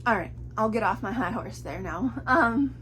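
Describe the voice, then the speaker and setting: A woman's voice: fairly high pitch, lively intonation, normal speed, a bit bright. Young woman, casual book vlogger, cold in her voice, chatty and filler-heavy; noisy recording with hum. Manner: chatty, rambling with many fillers. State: fighting a cold. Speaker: book reviewer. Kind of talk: casual book review vlog.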